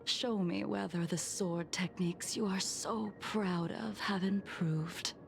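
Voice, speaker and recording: A woman voicing an aloof, stern swordswoman who speaks in a cool, measured, quietly mocking way. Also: medium pitch, steady tone, gravelly, background noise. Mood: taunting. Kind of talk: video game voice acting. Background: game music.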